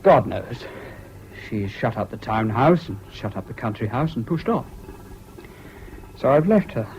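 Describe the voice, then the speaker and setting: An actor in a 1970s British radio drama playing a troubled, self-pitying jilted husband, halting and plaintive, on an old recording. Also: male voice, medium pitch, big pitch swings, darkish tone. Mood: bitter. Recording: dull, faintly noisy old radio recording.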